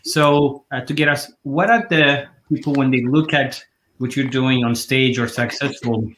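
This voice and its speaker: A man hosting a live online talk show, speaking earnestly with halting phrases and fillers. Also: mid-range voice, fairly even intonation, fairly bright tone. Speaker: host.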